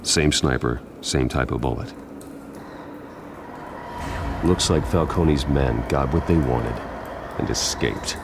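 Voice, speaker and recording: An actor playing a grim, menacing masked vigilante detective in a video game, with a gravelly growl and terse, clipped delivery. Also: male voice, a bass voice, unhurried, background noise, heavily acted. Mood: focused, analytical.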